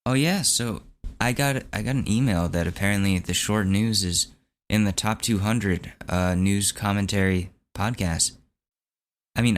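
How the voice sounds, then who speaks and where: A young man hosting his own podcast, talking in a rambling, candid and wry way, his voice still recovering from hoarseness. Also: low voice. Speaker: podcast host. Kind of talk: casual solo podcast monologue.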